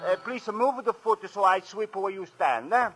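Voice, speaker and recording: A man's voice: high voice, lively intonation, normal speed, fairly bright tone, faint music behind. A male actor playing a chatty, simple old railway sweeper who speaks broken English with a foreign accent in a 1940s radio drama. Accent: foreign, non-native English.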